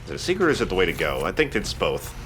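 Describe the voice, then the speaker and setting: A man's voice: low-pitched, wildly swooping pitch, rapid-fire, soft background music. A man giving casual gaming commentary as a let's play host, explaining things in a self-deprecating way.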